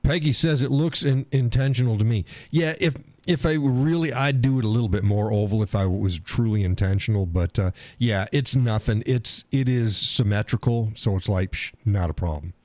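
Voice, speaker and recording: Low-voiced man, stuffy, rambling. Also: moderate pace, few pauses, darkish tone, dry recording. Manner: rambling, folksy, reads chat aloud. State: stuffy nose. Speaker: live stream host. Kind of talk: casual live stream chat. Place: home workshop room.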